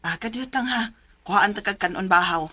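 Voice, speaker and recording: An actress with a Cebuano Filipino accent playing a concerned, generous shopkeeper neighbor who fusses and mildly scolds in a radio drama. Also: female voice, mid-range voice, brisk, music in the background, natural voice.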